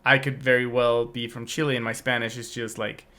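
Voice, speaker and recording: A man's voice: mid-range voice, flat delivery, a little fast, bright, forceful tone. A young adult man co-hosting a podcast, talking in a casual, reflective way with many restarts. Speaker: podcast co-host. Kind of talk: casual chat.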